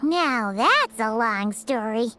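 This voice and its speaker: A little floating fairy with a squeaky, swooping, childlike voice. She is perky and cutesy, every bit the video game sidekick, with clear music playing behind. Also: expressive, slow. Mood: curious. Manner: cutesy, refers to herself in third person. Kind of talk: English video game voice acting.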